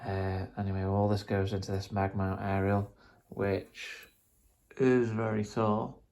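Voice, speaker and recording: A man's voice: fairly low pitch, little pitch movement, a little slow, a little mellow. A man explaining things casually and tentatively in an unscripted, rambling vlog. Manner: tentative, self-deprecating, rambling. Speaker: vlogger, hobbyist trying out radio gear.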